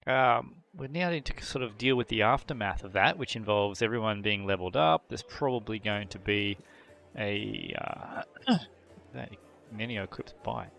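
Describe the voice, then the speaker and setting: Male game host, low and gravelly, musing through options with ums and throat clears, faint music underneath. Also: moderate pace, a bit bright, dry recording. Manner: thinking aloud with many fillers. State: voice a bit worn from a long previous session. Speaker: gameplay channel host. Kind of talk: casual gameplay commentary.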